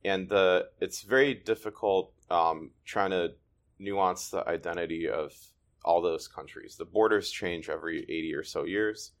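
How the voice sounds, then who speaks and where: A man born in Ukraine whose first language is Russian, a guest telling his family history in a thoughtful, halting way. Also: low voice. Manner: thoughtful, restarts often, halting. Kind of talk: conversational interview.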